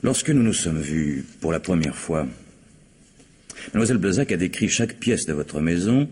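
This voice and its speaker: A man acting as a composed, methodical police commissioner in a French radio mystery, speaking formally and deliberately. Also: low-pitched, animated, a little mellow, slight background noise. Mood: calm, accusing.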